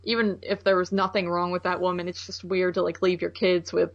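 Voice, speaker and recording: A woman's voice: on the low side, level tone, fairly quick. Informal woman on slightly dull podcast audio. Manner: informal, colloquial. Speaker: movie podcast co-host. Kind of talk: casual film review chat.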